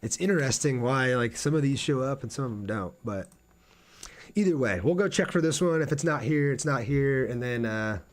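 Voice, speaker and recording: A man's voice: mid-range voice, expressive, normal speed. A man live streaming a game, thinking aloud in an informal way and chatting casually with viewers as he plays.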